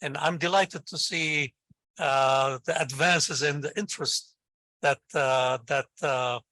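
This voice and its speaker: A man's voice: on the high side, takes it slowly, pushed and bright, no room sound. An older man with a Lebanese accent, a native Arabic speaker, answering interview questions in an enthusiastic, encouraging, conversational way. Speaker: invited guest, professor and scientist.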